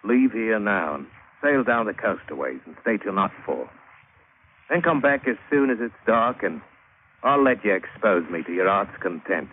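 A crafty sailor on muffled old radio. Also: male voice, fairly low pitch, big pitch swings, normal speed, slight background noise. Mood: wheedling, scheming. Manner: colloquial seafaring speech, ungrammatical, sardonic. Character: rough, crafty English sailor adventurer, wary and scheming. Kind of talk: 1950s radio drama acting, first-person narration and dialogue. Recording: muffled, narrow-band vintage radio broadcast recording.

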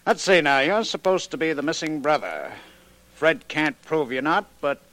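A man's voice: a little high, animated, moderate pace, a bit bright. A man playing a scheming, bossy gang boss, brusque and commanding, in a 1940s radio drama.

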